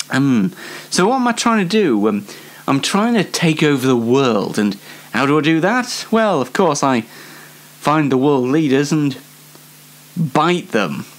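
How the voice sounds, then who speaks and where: A man hosting a solo show in a casual comic monologue, rambling hesitantly and poking fun at himself. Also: mid-range voice. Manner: hesitant, rambling, self-deprecating. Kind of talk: casual comedic monologue to audience.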